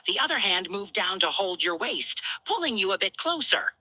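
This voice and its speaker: A woman's voice: medium pitch, very animated, normal speed, bright, forceful tone, no echo. A woman narrating scripted romantic fan fiction.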